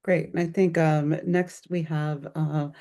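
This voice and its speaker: A woman's voice: low-pitched, little pitch movement, slow pace, dark tone. A woman moderates an online program on a video call, giving procedural notes with frequent ums.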